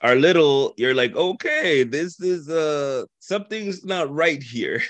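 A man's voice: a little high, lively intonation, slow pace, a bit bright, no echo. A man from the Dominican Republic hosting a casual interview, talking in loose run-on thoughts and acting out quoted voices. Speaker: podcast host.

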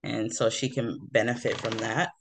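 A woman's voice: extremely low pitch, fairly even intonation, normal speed, gravelly, a little hiss or hum. A woman from Toronto, a hairstylist chatting as an interview guest, with many fillers and easy laughs. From Toronto, Canada. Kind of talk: casual interview chat.

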